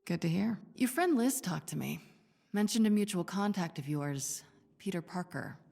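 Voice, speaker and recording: Female spy heroine, gravelly, dry, composed, long reverb, video game. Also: fairly low pitch, expressive, moderate pace, warm tone. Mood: calm, reassuring. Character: composed, dryly witty adult female spy heroine, quietly protective. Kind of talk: naturalistic video game voice acting. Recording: long reverberant hall echo.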